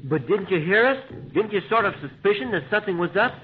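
A male actor playing an eager, plucky American boy in a 1930s radio serial, with a husky voice. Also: sits high, expressive, quick pace. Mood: eager, excited. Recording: muffled lo-fi 1930s radio recording.

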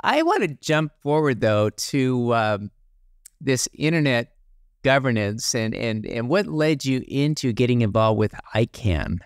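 An older man hosting an interview podcast, asking questions in a halting way with many filler words. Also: mid-range voice, very animated, clear, resonant tone, no echo, clean studio sound. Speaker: podcast host. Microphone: podcast microphone on video call.

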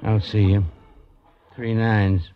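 A man's voice: on the low side, flat delivery, takes it slowly. An actor playing a wealthy, proud and touchy businessman castaway in a classic radio drama. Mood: wistful, chatty. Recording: muffled, noisy old radio recording.

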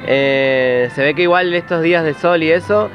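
A man's voice: a little high, moderate pace. A man in his twenties from Peru, talking casually in an outdoor interview into a handheld microphone. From Lima, Peru. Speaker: tattoo artist being interviewed. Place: outdoor patio. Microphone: handheld reporter microphone. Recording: dull sound with music behind. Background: music.

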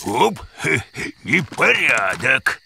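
Jolly folksy cartoon bear, gravelly, slow, high and lively, loud music. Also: male voice, heavy background noise, full character voice. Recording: studio dub with loud music.

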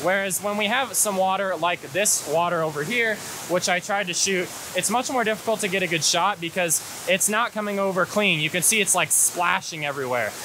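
A man's voice: high voice, rough recording. A man in his twenties with an American accent, talking casually as a hiking and photography guide outdoors on an action camera. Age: 20s. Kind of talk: casual vlog explanation. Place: outdoors in a canyon beside rushing water. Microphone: action camera at arm's length. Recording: hiss and loud rushing-water noise. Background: rushing water, hiss.

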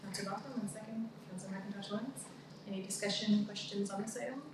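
A woman with a Canadian accent chairing a town council meeting, reading motions and calling votes in a brisk, procedural way. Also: medium pitch, fairly even intonation. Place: large council chamber. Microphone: far room mic. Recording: echoey room from far off, background noise.

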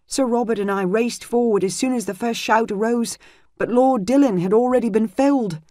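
A woman's voice: normal speed, no echo, clean studio sound, close to an everyday voice. A woman voicing a breathless, frantic young male squire who is barely old enough to grow a beard. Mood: urgent. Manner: breathless, urgent, high and loud.

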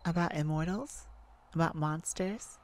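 Lovesick wounded vampire woman acting, ASMR roleplay, very slow, halting, emotional, faint cricket-filled night ambience. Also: low-pitched, a little hiss or hum. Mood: wary, sombre. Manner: hesitant, emotional, halting with sighs and pauses. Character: tender, wounded, lovesick vampire speaking to a hunter. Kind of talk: ASMR roleplay, intimate dramatic monologue.

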